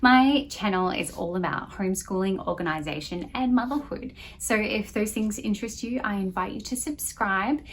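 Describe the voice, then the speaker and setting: A woman's voice: mid-range voice, normal speed, few pauses, a little hiss or hum. A woman in her thirties talking casually to camera as a channel host, giving a review in a small room at home. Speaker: homeschool channel host reviewing a language curriculum.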